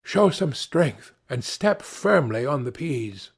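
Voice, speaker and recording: A man's voice: medium pitch, big pitch swings, slow, gravelly, breathy edge, heavily acted. Young princess read by a man: resolute, instructing her companions firmly, storybook delivery. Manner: firm, instructing. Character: young king's daughter, resolute female leader.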